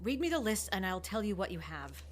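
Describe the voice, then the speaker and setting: Woman as haughty scientist, cutting and sarcastic, mid-pitched. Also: sing-song, brisk, slight background noise, lightly acted. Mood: imperious. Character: proud, prickly woman scientist, starving fugitive. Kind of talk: audio drama acting.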